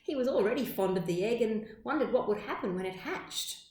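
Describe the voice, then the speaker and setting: A woman reading a children's storybook aloud like a teacher, with measured, expressive, dramatic phrasing. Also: fairly high pitch. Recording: echoey room sound.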